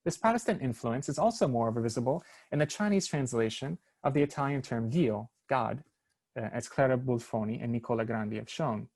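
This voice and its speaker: A man's voice: medium pitch, fairly quick, a little mellow. A man presenting a prepared research paper at an online seminar, reading carefully with small hesitations over foreign names. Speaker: academic lecturer. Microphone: online seminar, computer mic.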